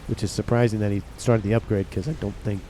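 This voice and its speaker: A man's voice: fairly low pitch, fast, a little hiss or hum, rough recording. Congested man casting a strategy game. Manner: relaxed, rambling, talks to viewers. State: congested, nasal from a cold. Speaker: game caster. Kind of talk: casual play-by-play commentary.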